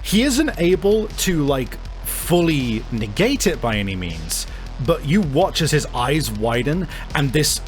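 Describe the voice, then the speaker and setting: A man running a tabletop roleplaying game as game master, narrating in a casual, excitable, sweary way. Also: fairly high pitch, measured pace. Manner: casual, profane, excitable storytelling. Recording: music playing behind the voice. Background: background music.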